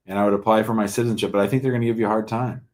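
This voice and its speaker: A man's voice: lowish voice, steady tone, rapid-fire. A man hosting a call-in show, giving informal legal advice in a blunt, probing, conversational way. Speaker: host taking callers' immigration questions.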